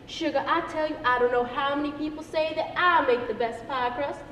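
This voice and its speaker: Woman playing a sassy old Southern gal, high-voiced. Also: fairly even intonation, a little fast, fairly bright tone. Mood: boastful. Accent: American Southern. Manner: sassy, folksy, teasing. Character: brash, sassy old Southern woman, much-married and boastful. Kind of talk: amateur stage play reading. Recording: echoey hall, distant mic, faint noise.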